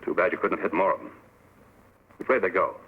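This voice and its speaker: An actor playing a steadfast Texas Ranger captain, brisk and authoritative, in an old-time western radio drama. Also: male voice, low voice, fairly even intonation. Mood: concerned. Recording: tinny, noisy old radio recording.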